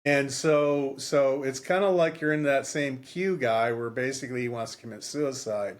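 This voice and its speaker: Halting male podcast co-host, medium pitch. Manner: halting, thinking aloud. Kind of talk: casual chat.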